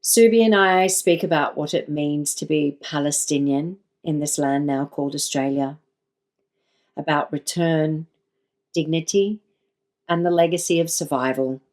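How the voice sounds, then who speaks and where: A woman hosting a podcast, reading a scripted intro and asking questions in a measured, deliberate way. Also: low voice, darkish tone. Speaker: podcast host.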